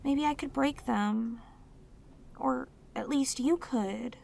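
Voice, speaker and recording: A woman voicing a lonely, clingy, sweet female demon in an ASMR audio roleplay, with an earnest, halting, pleading manner. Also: sits high, gravelly, darkish tone, slight background noise, heavily acted. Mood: yearning.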